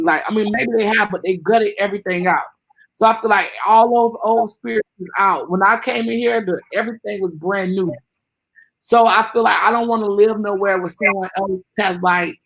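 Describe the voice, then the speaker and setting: Young woman in her 20s, slight gravelly fry, gets loud and excited, casual interview chat over a call. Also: medium pitch, low-quality recording. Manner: animated, loud outbursts of excitement. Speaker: interview guest.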